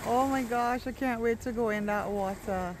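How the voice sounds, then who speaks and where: A woman's voice: on the high side, normal speed. A woman chatting casually and eagerly as she films a travel vlog, often breaking into exclamations. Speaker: travel vlogger. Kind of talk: casual chat while filming. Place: outdoors at a seaside beach and rocks. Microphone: handheld camera held by the speaker. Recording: outdoor noise, lapping water.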